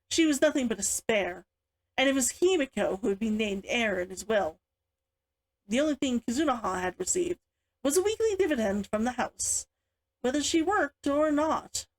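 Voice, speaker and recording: A woman reading her own book aloud as an author, in an expressive audiobook style. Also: fairly high pitch, low-quality recording.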